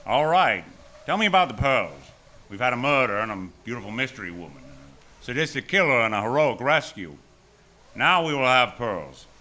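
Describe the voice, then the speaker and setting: A man's voice: mid-range voice, very animated, normal speed, pushed and bright. An actor with an American accent playing a tough, wisecracking hardboiled hero who tells his story in a noir radio drama, wry and terse. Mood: wry probing. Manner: wry, terse, world-weary. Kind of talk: first-person noir radio drama acting.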